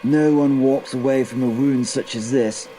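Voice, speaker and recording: Man playing a mellow-voiced battle-hardened medieval knight. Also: mid-range voice, fairly even intonation, normal speed, close to an everyday voice, low-quality recording. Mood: anguished, urgent. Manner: stammering, sweary, bewildered. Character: battle-hardened male medieval knight, gruff loyal comrade, flustered.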